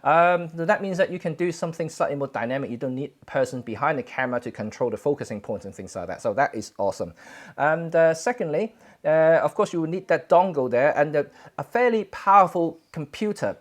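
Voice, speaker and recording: A Cantonese-native man from Hong Kong chats casually to viewers of his photography livestream. He talks in a halting, relaxed way, full of fillers and restarts, from a home setup. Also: fairly high pitch. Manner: halting, many fillers and self-corrections. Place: home, indoors. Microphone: camera setup for streaming.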